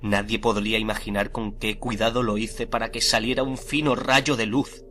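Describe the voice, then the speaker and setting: An actor playing a nervous, obsessive murderer confessing his crime in a theatrical, deliberate radio drama monologue. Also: fairly low pitch, flat delivery, a little hiss or hum, natural voice. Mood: hushed, sly. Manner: theatrical, deliberate, swelling from hushed to frenzied. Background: music under the voice.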